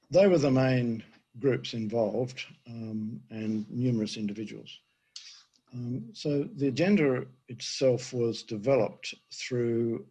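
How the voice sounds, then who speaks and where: An older man, an emeritus professor, giving a conference presentation with a hoarse voice he is losing, halting with many fillers. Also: mid-range voice, a little mellow, low-quality recording. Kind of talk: conference presentation over video.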